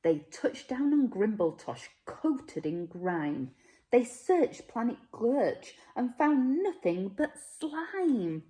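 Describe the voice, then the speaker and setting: A woman reading a rhyming children's story as a teacher would, with measured, dramatic phrasing. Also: a little high, very animated, dark tone, slight background noise. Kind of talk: expressive rhyming storybook narration.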